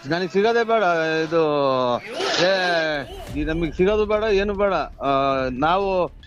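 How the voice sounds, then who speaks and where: A man's voice: sits high, slow pace, a little hiss or hum. A middle-aged man, talking informally on the phone in a rambling, blustery, emphatic way. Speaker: prank call recipient. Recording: dull lo-fi phone call. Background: faint music.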